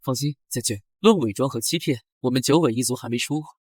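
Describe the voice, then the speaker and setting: A man playing a sly, teasing fox spirit spy with a confident, smooth-tongued manner in an animated drama. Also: mid-range voice, quick pace, no room sound, clean studio sound, natural voice. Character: sly, teasing nine-tailed fox clan spy, male consort.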